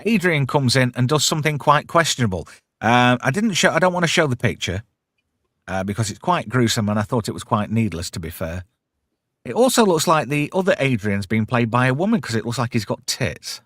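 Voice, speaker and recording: A man's voice: medium pitch, animated, fairly quick, a bit bright, no room sound, studio quality. A British man hosting a pop culture show, recapping casually in sweary, irreverent slang.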